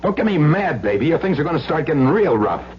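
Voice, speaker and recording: An actor playing a menacing, desperate gangster ex-husband who bullies in tough, threatening slang in an old-time radio drama. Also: male voice, a little high, very animated, fast. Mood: menacing, sly. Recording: muffled, noisy old radio recording with hum.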